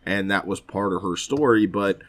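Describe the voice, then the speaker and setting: Low-pitched man chatting, rambling and sweary. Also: normal speed, a bit bright. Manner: rambling, slangy and profane. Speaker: podcast co-host. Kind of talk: casual chat about films and games.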